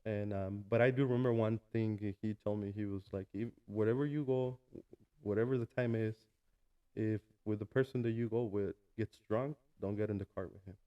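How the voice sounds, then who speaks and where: A man in his early thirties from Mexico with a Mexican Spanish accent, a guest talking casually in an interview with many pauses and restarts. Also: lowish voice, steady tone, highs cut off. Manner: hesitant, many fillers and restarts. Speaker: guest, food truck owner.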